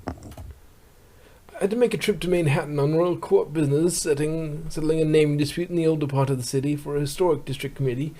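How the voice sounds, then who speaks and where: A man's voice: a little high, fairly even intonation, brisk, mellow, close to an everyday voice, rough recording. A man voicing a good-natured, pun-loving pony who narrates a fan comic blog in a chatty way. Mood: cheerful. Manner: chatty, eager questioner.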